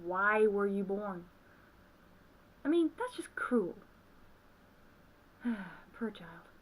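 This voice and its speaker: A woman's voice: medium pitch, big pitch swings, drawn-out words, poor audio. A woman giving a scripted film review commentary. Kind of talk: scripted review commentary with acted intro skit.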